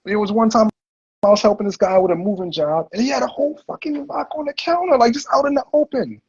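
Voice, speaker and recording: Host, male, husky and a bit high-pitched, relaxed slangy storyteller, dull lo-fi audio. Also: fast. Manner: laid-back, slangy, heavy on "like" and "you know". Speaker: podcast host. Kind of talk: casual livestream interview storytelling.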